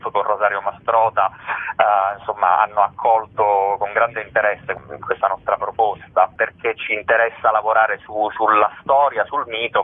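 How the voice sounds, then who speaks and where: A theatre man giving conversational, explanatory interview answers in a halting way. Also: on the low side. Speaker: theatre actor-director, interview guest. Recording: narrow tinny phone line.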